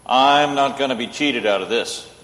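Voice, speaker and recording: A man's voice: medium pitch, moderate pace, a bit bright, natural voice. A man playing an amiable, wry lawyer in an old-time radio play, easygoing in manner. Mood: amused, curious. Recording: reverberant hall.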